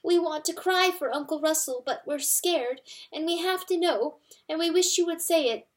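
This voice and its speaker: Frightened little mice, as a woman voices them, asking anxiously in small, high voices. They plead over and over, close to panic, in storybook narration. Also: moderate pace.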